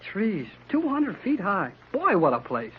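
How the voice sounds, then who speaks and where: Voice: mid-range voice, huge pitch swings, measured pace, husky, exaggerated voice. An actor playing a brash, impatient boy with slangy, exclamatory talk in an old-time radio serial. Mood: eager, breezy. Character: brash, impatient, plain-spoken adventurous boy. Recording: muffled vintage radio recording.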